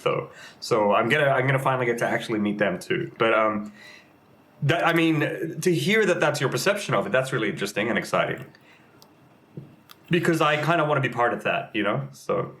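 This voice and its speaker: A man's voice: mid-range voice, expressive, fairly quick, a bit bright. Rambling young man, chatty guest. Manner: rambling, lots of fillers and restarts. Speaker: game community manager and streamer. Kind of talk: casual interview chat.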